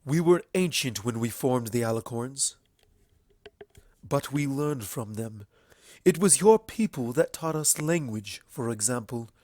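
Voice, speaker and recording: A man voicing an ancient female pony princess in a storybook reading, speaking formally and with measured, earnest eloquence. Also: medium pitch, lively intonation, darkish tone, no room sound, natural voice. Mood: solemn, earnest. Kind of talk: fan fiction audiobook character acting.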